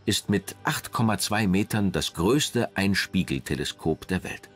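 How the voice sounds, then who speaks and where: A man reading scripted documentary narration in a measured, polished way. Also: sits low, animated, a little hiss or hum. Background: background music.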